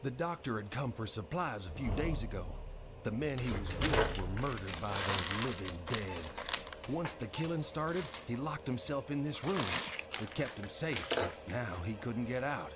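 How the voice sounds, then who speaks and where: An actor playing a male survivor of a zombie outbreak, gravely narrating his ordeal in a scripted video game cutscene. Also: mid-range voice, expressive, unhurried, very noisy, natural voice. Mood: grave, recounting. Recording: game audio with music over it.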